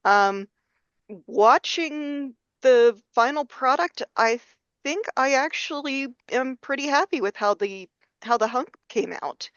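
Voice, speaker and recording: A woman's voice: high voice, animated, takes it slowly, fairly bright tone, clean tone, no echo, lo-fi sound. A woman speaking conversationally as a podcast guest, recalling her experience as an amateur performer. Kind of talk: conversational recollection.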